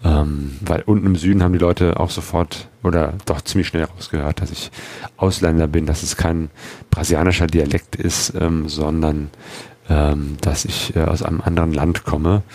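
A man's voice: very deep voice, level tone, moderate pace, darkish tone. A man from Germany talking casually about his motorcycle trip, rambling with lots of fillers and restarts. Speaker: motorcycle travel vlogger. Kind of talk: casual travel vlog talk.

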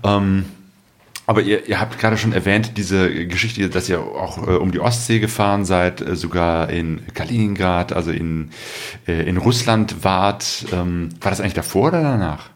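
A man with a deep voice hosts a conversational interview. He builds long questions haltingly, rephrasing as he goes. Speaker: podcast host.

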